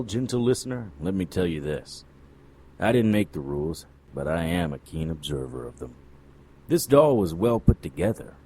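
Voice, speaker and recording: An actor playing a cynical, world-weary private detective in a noir radio drama, wry and sardonic. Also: male voice, very deep voice, dark tone, husky, full character voice. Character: cynical male hard-boiled occult private detective.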